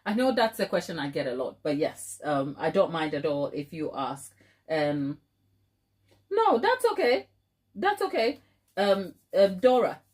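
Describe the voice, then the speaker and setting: A woman's voice: on the low side, big pitch swings, unhurried, darkish tone. A woman with a Ghanaian accent hosting a casual live chat, affectionate and playful, often repeating phrases for emphasis. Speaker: baking livestream host.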